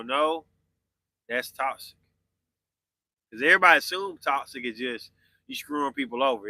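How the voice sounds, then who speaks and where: Bright-voiced man in his forties, chatting. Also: medium pitch, no room sound, low-quality recording. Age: 40s. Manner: loose and conversational, answering viewer comments. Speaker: podcast host. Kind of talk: casual live-stream chat with viewers.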